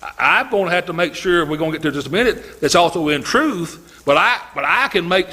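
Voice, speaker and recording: Guy preaching to his church, loud voice, homey chatty way of talking, lots of fillers. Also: high-pitched, animated, quick pace. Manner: folksy and conversational, many fillers and restarts. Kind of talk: informal sermon to a congregation.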